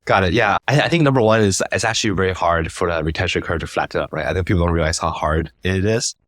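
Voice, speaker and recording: A man with a low voice hosts a casual interview. He talks very quickly, doubling back on words as he shapes a thought. The recording is dry and close. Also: a bit bright, dry recording. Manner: halting, repeats words while forming thoughts. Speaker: interview host.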